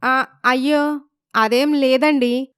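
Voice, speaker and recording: A woman's voice: high-pitched, fairly even intonation, takes it slowly, a bit bright, smooth, dry recording, clean studio sound. A woman voicing a meek, anxious young village wife in a story, timid and respectful. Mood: timid, confused.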